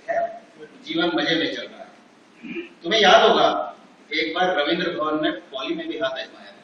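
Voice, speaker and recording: A man's voice: on the high side, bright, forceful tone, lo-fi sound, slight background noise. A man on stage reading out a wry, reminiscing reply letter about his working life, in an auditorium. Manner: wry, reminiscing, self-mocking. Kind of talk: stage play monologue. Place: large auditorium. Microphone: unamplified stage, far from the recorder. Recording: echoey hall from far off.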